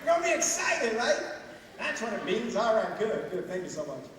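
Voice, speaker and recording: Man, high bright voice, animated speaker at a school assembly, echoey hall heard from afar. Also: fairly quick, recorded from a distance, a little hiss or hum. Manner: animated, asks the crowd many questions, bursts into shouts. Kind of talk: motivational speech to students.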